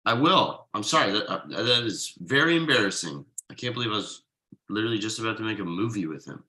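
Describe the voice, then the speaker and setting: A man acts out an exasperated ad copywriter and young dad in a comedy table read. His bright voice swings widely in pitch. He stammers with emphatic disbelief. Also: lively intonation, quick pace, low-quality recording. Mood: flustered. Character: exasperated young father, wronged friend and ad copywriter.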